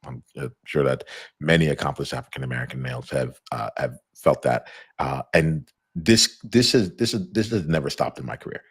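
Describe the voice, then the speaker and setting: A middle-aged man hosting a live conversation, talking loosely with a deep voice, many fillers and restarts. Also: brisk, breathy edge, no echo, studio quality. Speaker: host.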